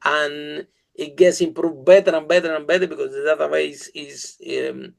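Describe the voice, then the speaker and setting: A man with a Spanish accent, Spanish as his first language, hosting a podcast interview in an enthusiastic, halting way with many fillers. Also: fairly high pitch, steady tone, gravelly. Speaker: podcast host. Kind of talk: casual interview chat.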